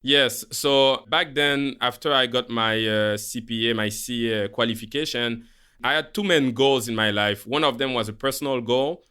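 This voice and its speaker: A man from Haiti with a Haitian French accent, calmly explaining his career as a guest on a finance podcast. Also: mid-range voice, normal speed, clear, resonant tone. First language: Haitian Creole. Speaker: guest, portfolio manager. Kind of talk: podcast interview.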